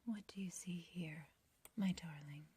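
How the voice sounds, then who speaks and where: A woman playing an ancient, beautiful witch in an ASMR roleplay, obsessively loving and menacing, speaking in a breathy whisper with archaic, theatrical phrasing. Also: fairly low pitch, warm tone. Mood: possessive, coaxing. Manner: slow, theatrical, archaic wording, doting yet condescending.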